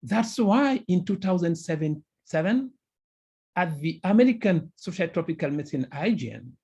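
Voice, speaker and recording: Man from Senegal, husky voice, halting emphatic phrasing, video call talk. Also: high voice, expressive, mellow. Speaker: researcher presenting. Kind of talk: webinar presentation.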